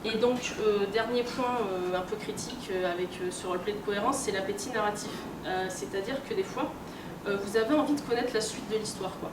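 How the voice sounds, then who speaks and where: Woman, conference speaker, hesitant and conversational, mid pitch, recorded far off in a noisy room. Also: a little fast. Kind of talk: informal lecture to an audience. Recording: echoey room, distant mic, clear noise.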